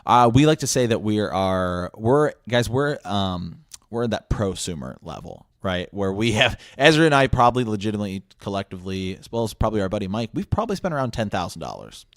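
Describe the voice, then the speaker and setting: A man's voice: on the low side, lively intonation, brisk, few pauses, dry recording, clean studio sound. A man hosting a podcast, making a casual announcement in an earnest way and often repeating his phrases. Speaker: podcast host.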